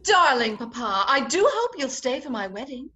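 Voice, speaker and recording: Haughty society bride, played by a woman: high, bright voice, cutting and imperious, old radio comedy delivery. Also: very animated, normal speed, exaggerated voice. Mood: gushing false politeness. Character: haughty, sharp-tongued society bride, indignant and proud. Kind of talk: 1940s radio comedy acting.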